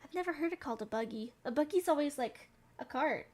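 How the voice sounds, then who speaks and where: A woman's voice: high-pitched, lively intonation, fast talker. A young woman from the American South with a Southern accent, chatting playfully and giggling with her viewers while she plays a game. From Southern United States. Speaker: streamer. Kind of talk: casual chat while gaming.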